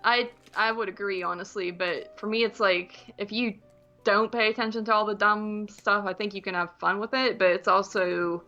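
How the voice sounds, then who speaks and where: A woman's voice: medium pitch, fairly even intonation, moderate pace, pushed and bright, highs cut off, soft background music. A woman chatting casually as a podcast co-host, rambling informally about films. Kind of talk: casual chat reviewing films.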